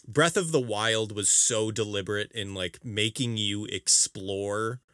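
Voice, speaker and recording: A man co-hosting a casual video game podcast, talking emphatically with halting explanations and exasperated teasing. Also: on the low side, pushed and bright, clean tone, no echo, clean studio sound. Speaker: podcast co-host.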